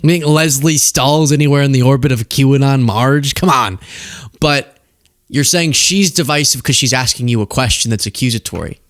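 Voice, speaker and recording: A man's voice: medium pitch, normal speed, dry recording. An American man hosting a political show, reacting to news clips in a casual, sarcastic and incredulous conversational way. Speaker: political commentary show host.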